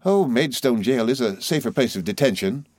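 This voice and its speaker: A man voicing a calm, polite and practical young gentleman lawyer in an audiobook, firm but slightly hesitant in manner. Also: mid-range voice, normal speed, dry recording, studio quality, close to an everyday voice. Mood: mild, resolute. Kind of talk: audiobook character voice.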